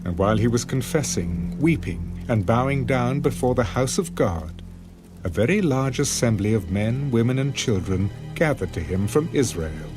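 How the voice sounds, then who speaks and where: A man narrating a dramatised scripture audiobook as a scripted reading. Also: mid-range voice. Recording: music behind the voice.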